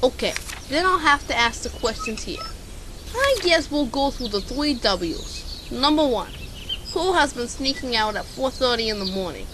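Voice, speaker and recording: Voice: high voice, sing-song, moderate pace. A young-sounding amateur actor playing a bumbling, pompous male private eye in a radio drama, theatrical and self-important. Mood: pompous questioning. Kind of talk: amateur radio drama detective narration and dialogue. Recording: hissy, noisy amateur home recording.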